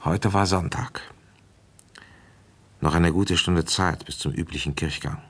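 Husky, low-voiced village police commissioner. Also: male voice, steady tone, a little slow, fairly bright tone, exaggerated voice. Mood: musing. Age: middle-aged. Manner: coaxing, paternal, blunt. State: feverish with a cold. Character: avuncular, ambitious village police commissioner in his fifties. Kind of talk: German radio drama acting and first-person narration.

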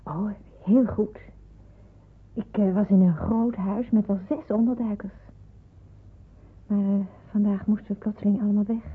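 Young fugitive woman, radio drama, devout and earnest, very husky, narrow-band muffled recording. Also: medium pitch, steady tone, a little slow, pauses often. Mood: polite, hesitant. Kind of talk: radio drama acting with narrated monologue.

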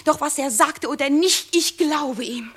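High-voiced, fervent young girl. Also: unhurried. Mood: fervent, pleading. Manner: fervent, insistent. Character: young devoted girl in love, pleading and earnest. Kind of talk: 1950s German radio drama acting.

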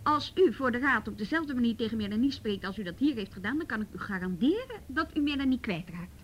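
Mediator, played by a woman: firm, frank, fairly high voice, radio drama with faint noise. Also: animated, fast, lightly acted. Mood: firm, negotiating. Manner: firm, reasoning, direct. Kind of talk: Dutch radio drama acting.

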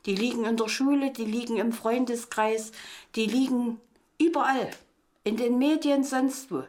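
A woman's voice: on the high side, moderate pace, a little mellow. A middle-aged woman giving a spontaneous personal account as an interviewee, earnest and insistent.

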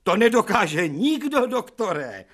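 An actor playing an imperious, irascible older professor, declaiming sarcastic rhetorical questions in a theatrical radio drama. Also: male voice, high-pitched, very animated. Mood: outraged. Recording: music faintly behind the voice.

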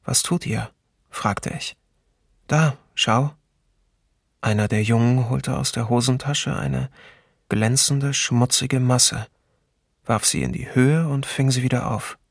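A sombre memoir narrator speaks very slowly and with restraint. The voice is gravelly and husky, close and dry, as in a documentary radio play. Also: male voice, mid-range voice, steady tone, no echo. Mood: sombre, reflective. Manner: slow, measured, restrained. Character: sombre male memoir narrator recalling his childhood at a ravine. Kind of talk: scripted literary reading in a documentary radio play.

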